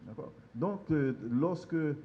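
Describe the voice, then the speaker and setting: A man's voice: a little high, lively intonation, a little slow, lo-fi sound. A man giving a conference talk on law, hesitant, with many fillers and restarts. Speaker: legal conference speaker. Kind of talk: lecture on extradition law. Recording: very echoey hall, noisy.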